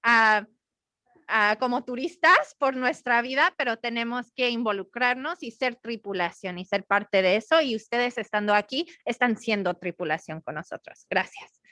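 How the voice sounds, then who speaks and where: A woman's voice: a little high, brisk, pushed and bright, dry recording, studio quality. A woman, a school administrator, introducing her new duties to parents in Spanish and then in English. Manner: says each point in Spanish and then English. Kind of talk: bilingual staff announcement.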